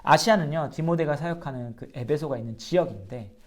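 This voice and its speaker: Earnest pastor guy teaching bible class, kinda high voice, lots of questions to the crowd. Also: a little fast. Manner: earnest, asks the audience rhetorical questions. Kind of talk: sermon-style Bible lecture.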